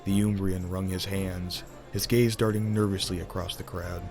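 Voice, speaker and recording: Deep-voiced man narrating a fantasy tale. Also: little pitch movement, music in the background. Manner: theatrical, deliberate. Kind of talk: dramatic scripted fantasy storytelling.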